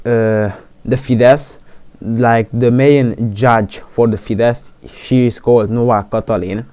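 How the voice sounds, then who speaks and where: A man's voice: mid-range voice, mellow, smooth, dry recording. A seventeen-year-old boy from Romania, a native Hungarian speaker, talking casually in a vlog with many fillers and restarts. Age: teens. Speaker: vlogger.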